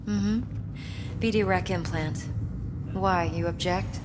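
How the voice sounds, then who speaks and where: A reverberant recording with loud ambience carries a calculating woman client from a video game. Her voice sits on the low side, measured and insinuating, with cool command. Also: fairly even intonation, background noise. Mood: cool, guarded. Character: poised, calculating woman client with secretive dealings. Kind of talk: video game dialogue acting.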